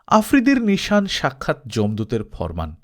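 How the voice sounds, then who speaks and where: A man reading a literary travelogue aloud as an expressive, dramatized audiobook storyteller. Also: mid-range voice, moderate pace. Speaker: audiobook narrator.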